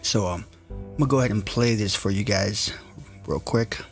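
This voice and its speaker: A man of Samoan descent talks straight to his stream viewers in a loose, rambling way, full of "um" and "like". His voice sits low and has a gravelly edge. Soft music plays faintly behind him. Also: moderate pace. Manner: chatty, filler-heavy. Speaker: stream host. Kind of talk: casual chat to viewers.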